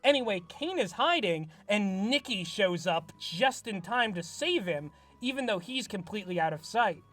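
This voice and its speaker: A man's voice: high voice, expressive, normal speed, a bit bright, faint music behind. Wry, self-mocking young man host. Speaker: YouTube host. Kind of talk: comedic commentary on an old script.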